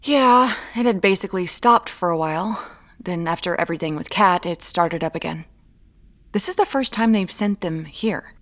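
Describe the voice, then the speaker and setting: A woman playing a guarded, quietly determined amateur detective in a naturalistic audio drama, with a dry, measured manner. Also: lowish voice. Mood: wry, guarded.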